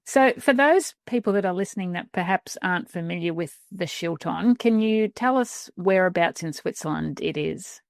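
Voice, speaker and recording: A woman's voice: lowish voice, animated, normal speed, few pauses, dry recording. Woman hosting a travel podcast, dry sound. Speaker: podcast host.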